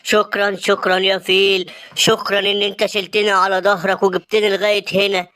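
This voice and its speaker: A woman with an Egyptian accent voicing the lion king of the forest in a cartoon, speaking in a measured, commanding way. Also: mid-range voice, little pitch movement, a bit bright, lightly acted. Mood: grateful. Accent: Egyptian Arabic. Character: male lion king of the forest, calm and fair. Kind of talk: cartoon voice acting.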